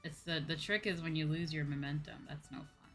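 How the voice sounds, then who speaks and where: A young woman chatting casually to viewers on a livestream in an expressive, rambling, self-deprecating way. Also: low voice, brisk. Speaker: VTuber streamer. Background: faint background music.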